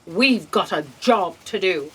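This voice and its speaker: A woman playing a bossy, animated penny-pinching chief. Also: lowish voice, slow, husky, exaggerated voice, low-quality recording. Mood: brisk. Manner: bossy, matter-of-fact. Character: brisk, callous, penny-pinching female boss of destiny. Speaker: voice actor in an audio drama. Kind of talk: comedic sci-fi audio drama acting.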